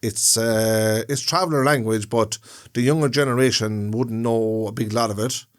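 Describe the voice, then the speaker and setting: A man's voice: lowish voice, fairly even intonation, normal speed. A man co-hosting a casual podcast chat in a room, rambling and restarting his phrases as he explains things. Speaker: podcast co-host. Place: room, indoors.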